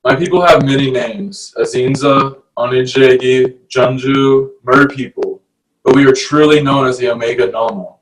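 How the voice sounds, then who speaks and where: A man's voice: medium pitch, steady tone, normal speed, close to an everyday voice. A man playing a sarcastic, irreverent merman in a screenplay table read. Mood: proud. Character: male merman, sardonic, unapologetic sea creature. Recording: distant mic, faint background noise.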